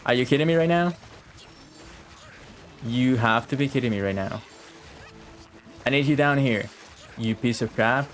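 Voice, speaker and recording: A man's voice: mid-range voice, a little slow, long pauses. A man giving casual, offhand live game commentary, swearing and muttering to himself as he plays. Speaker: game streamer.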